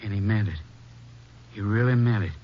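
An actor playing a kindly, dutiful small-town doctor, folksy and earnest, in a 1950s radio drama. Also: male voice, on the low side, normal speed, natural voice. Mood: urgent, afraid. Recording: muffled old radio broadcast with hiss.

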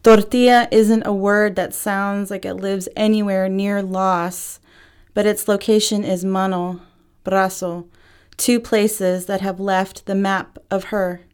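A woman's voice: mid-range voice, flat delivery, slow pace, darkish tone, smooth. A woman reading her own poem in a literary style. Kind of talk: slow literary poetry reading.

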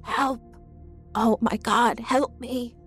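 A woman narrator plays a terrified mother lost in night terrors. She speaks in breathy, drawn-out whispers and strained pleas, confused and full of dread, in an audio horror story with faint music. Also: on the high side, fairly even intonation, a bit bright, voice pushed up, full character voice. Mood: panicked pleading. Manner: drawn-out, gasping, pleading.

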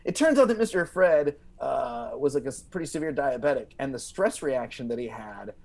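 A man telling comic stories as a guest comedian, in a halting way, repeating words and leaning on filler. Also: mid-range voice, expressive, vocal fry, darkish tone. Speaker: podcast guest, stand-up comedian. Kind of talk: animated comic storytelling.

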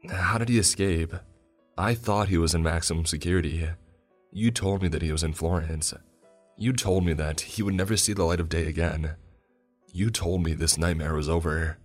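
A man's voice: low-pitched, steady tone, normal speed, soft background music. A man voicing a shaken mother who speaks in distress. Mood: distraught. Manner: distraught, pleading. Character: shaken woman, mother in shock.

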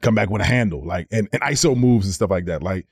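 A man in his thirties, a former pro basketball player, telling stories on a podcast in a casual, animated way, repeating words as he goes. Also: fairly low pitch, fast talker, vocal fry, husky, no room sound, clean studio sound. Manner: animated storyteller, slips into quoted voices, repeats words. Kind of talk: casual storytelling.

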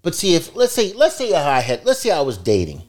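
A man's voice: fairly high pitch, wildly swooping pitch, fairly quick, darkish tone. A man in his sixties, a father joining his daughter's podcast, talking casually and joking, often repeating words. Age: 60s. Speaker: podcast guest, father of the co-host.

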